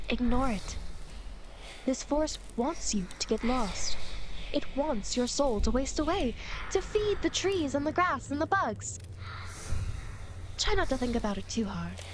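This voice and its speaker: A woman's voice: high-pitched, noisy background. A woman playing a playful, vain female demon who guides souls through the afterlife in an ASMR roleplay, teasing, smug and mock-indignant. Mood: teasing, reassuring.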